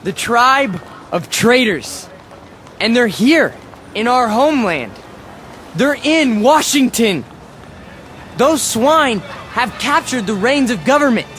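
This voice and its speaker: A man acting in a radio drama as a nervous, fanatical would-be demagogue with a halting, insistent delivery. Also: extremely high pitch, expressive, fairly bright tone, slight background noise, heavily acted. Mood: fervent, ranting. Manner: stammering, halting, then ranting.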